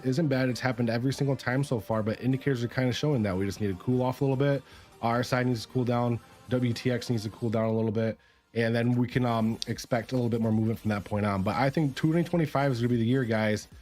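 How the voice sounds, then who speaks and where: A man hosting a casual livestream about chart analysis, talking in a relaxed, chatty way with many fillers, his voice raspy from a cold. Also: medium pitch. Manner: relaxed, chatty, filler-heavy talk to viewers. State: raspy, getting over a cold. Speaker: crypto chart livestream host. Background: faint music.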